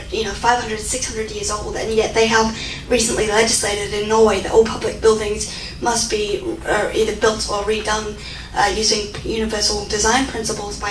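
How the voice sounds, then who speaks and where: A young woman in her twenties with an Australian accent, answering audience questions in a chatty way full of fillers and restarts. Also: mid-range voice. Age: 20s. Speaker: guest speaker. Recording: echoey room, mic far off, faint noise.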